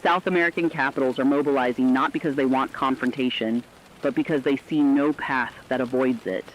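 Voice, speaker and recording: A serious male correspondent acted by a man files a fictional emergency radio report in a medium-pitched voice. His phrasing is formal, clipped and emphatic. The sound is slightly dull and lo-fi. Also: fairly even intonation, fairly quick, a little hiss or hum, lightly acted. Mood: serious, urgent.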